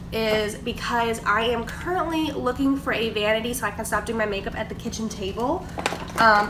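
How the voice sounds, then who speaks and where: Stuffy-nosed woman chatting in an echoey kitchen. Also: on the high side. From Georgia, US. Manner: chatty, filler-heavy, explaining products. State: stuffy from a cold. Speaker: beauty vlogger. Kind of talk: casual get-ready-with-me chat. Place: kitchen table at home. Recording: echoey room, distant mic, background noise.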